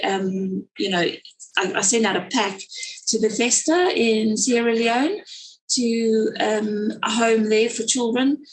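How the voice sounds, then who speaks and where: A woman's voice: on the high side, rough recording. A woman with a South African accent, talking casually as a guest on a video call webinar, rambling with lots of fillers and restarts. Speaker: guest speaker, children's story writer.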